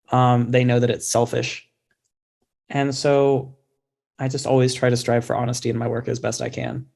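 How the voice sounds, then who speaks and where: A man's voice: medium pitch, gravelly, darkish tone. Informal, thoughtful man in conversation. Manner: informal, self-deprecating, thoughtful. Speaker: guest author. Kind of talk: casual interview conversation.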